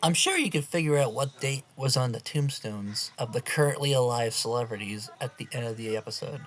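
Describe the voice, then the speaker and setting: A man narrates a scary story in the first person. His voice sits at a medium pitch, and he reads from a script as a storyteller. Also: brisk, a bit bright.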